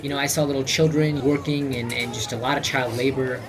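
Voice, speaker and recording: A man's voice: mid-range voice, fairly even intonation, a little fast, crowd noise, rough recording. A young man narrating a personal documentary voice-over in an earnest, reflective way, with many "you know" fillers. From United States. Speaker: vlogger. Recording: voice-over under loud guitar music.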